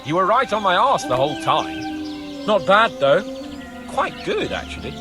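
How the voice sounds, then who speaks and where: A man's voice: high-pitched, wildly swooping pitch, a little slow, music in the background, exaggerated voice. An actor playing an arrogant, hot-tempered young nobleman in a video game, with a haughty, scornful, exclamatory manner. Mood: haughty, mocking. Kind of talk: video game dramatic voice acting.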